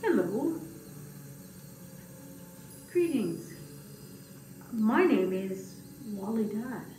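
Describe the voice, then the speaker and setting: A woman puts on a humble old man telling his story in a puppet show. The voice is rather high and very animated, halting and easily flustered. It sounds roomy and set back from the mic. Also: soft background music, full character voice. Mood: genial, storytelling. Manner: hesitant, halting, with many pauses. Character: gentle old male grass cutter, humble and easily flustered. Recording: roomy, distant mic, faint noise.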